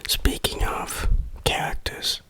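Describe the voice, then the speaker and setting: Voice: slow pace, pushed and bright. A person whispering a casual game review in ASMR style.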